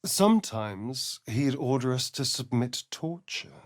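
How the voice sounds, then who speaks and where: A man's voice: medium pitch, expressive, slow pace, no room sound, clean studio sound. An actor playing a suave, sardonic male vampire spawn in a video game, with an arch, drawling, theatrical manner. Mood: wry, dramatic. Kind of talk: video game character voice lines.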